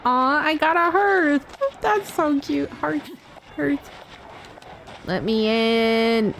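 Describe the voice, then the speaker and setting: A woman's voice: sits high, extremely slow, creaky, fairly bright tone. A woman livestreamer chatting casually and reacting while gaming, playful, blunt and sweary. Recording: livestream with faint background noise.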